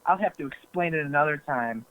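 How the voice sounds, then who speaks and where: A man's voice: fairly high pitch, fairly quick. Young man on a tinny phone line. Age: young adult. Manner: laughing easily, hesitant, filler-heavy. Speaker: podcast guest. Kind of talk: casual podcast chat.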